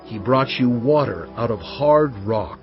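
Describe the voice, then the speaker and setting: An actor playing a solemn, impassioned male prophet in a dramatised scripture reading, speaking with emphatic, oratorical, deliberate phrasing. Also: mid-range voice, animated, close to an everyday voice. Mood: solemn exhortation. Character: Moses, solemn, impassioned male prophet exhorting his people. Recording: dull, band-limited audio with music behind.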